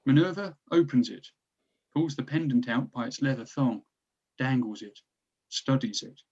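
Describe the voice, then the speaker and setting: A man's voice: medium pitch, lively intonation, normal speed, darkish tone, slightly rough, highs cut off. A man reading stage directions as scripted narration for a screenplay table read.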